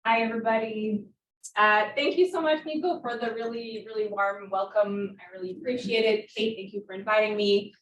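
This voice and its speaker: A woman's voice: mid-range voice, fairly even intonation, normal speed. Woman lecturing in an echoey room. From Montreal, Canada. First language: French. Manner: friendly, hesitant with fillers, laughs lightly. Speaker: guest lecturer, design professor. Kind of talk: academic talk. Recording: echoey room, distant mic.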